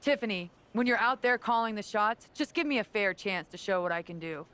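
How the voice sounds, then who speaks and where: A woman playing a proud veteran wrestling champion and earnest mentor in a video game cutscene, speaking in a measured, assured way. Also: mid-range voice, animated, a bit bright, smooth, lightly acted. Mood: firm, challenging.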